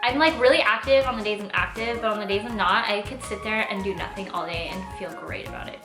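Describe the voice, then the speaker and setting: A woman's voice: medium pitch, quick pace. A Californian woman in her twenties chatting casually in a Q&A vlog, informal and emphatic. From Orange County, California. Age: 20s. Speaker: travel vlogger and nurse. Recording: echoey room with faint music.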